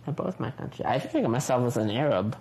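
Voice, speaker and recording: A man's voice: medium pitch, expressive, quick pace, gravelly, a little mellow. A young man answering interview questions casually, hesitating and searching for his words. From United States.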